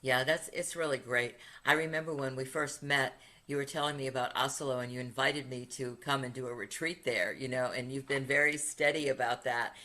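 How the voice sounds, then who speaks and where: A woman's voice: very deep voice, fairly even intonation, a little fast, few pauses, sharp tone. A woman hosting a podcast interview. She is chatty and upbeat, and she laughs while she tells stories. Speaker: podcast host, retreat leader. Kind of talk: casual interview conversation.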